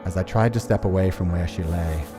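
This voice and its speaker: A man's voice: deep voice, fairly quick. A man musing aloud and reading narration as he plays through a visual novel. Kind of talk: casual game commentary and narrated reading. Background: music.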